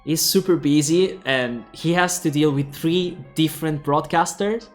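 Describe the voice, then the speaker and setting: Young Italian-accented man casting esports in English, slightly high voice, rambling with fillers, faint music behind. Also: a little slow. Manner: rambling, with frequent fillers and restarts. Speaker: esports caster. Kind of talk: esports commentary and sign-off.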